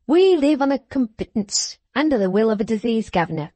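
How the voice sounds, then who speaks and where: Woman reader doing jovial old man, rough grammar, high and dry, slow and emphatic. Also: sing-song, mellow, no room sound. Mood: eager. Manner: broad, rough-grammared, enthusiastic. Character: hearty uneducated older man, jovial and boastful.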